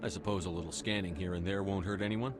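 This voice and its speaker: An actor playing a firm, no-nonsense military commander in a video game, direct, controlled and authoritative. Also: male voice, deep voice, fairly even intonation, fast talker, over music, close to an everyday voice.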